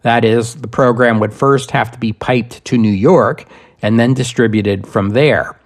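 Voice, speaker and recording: A man narrating scripted history as a podcast host, in measured, deliberate phrasing. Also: mid-range voice.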